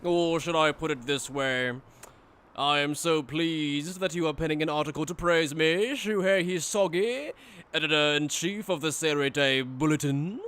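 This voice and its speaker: A man's voice: fairly high pitch, normal speed, fairly bright tone, clean tone, close to an everyday voice. A man playing a cruel, mocking aristocratic villain in an anime fan dub, with pompous, condescending, theatrical delivery. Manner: pompous, theatrical, sneering formal speech.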